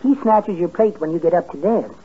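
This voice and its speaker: An actor playing a meek, timid, apologetic little henpecked man, softly and mildly, in 1950s radio comedy. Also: high-pitched, expressive, a little fast, husky. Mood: chatty, earnest. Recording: muffled tinny 1950s radio recording.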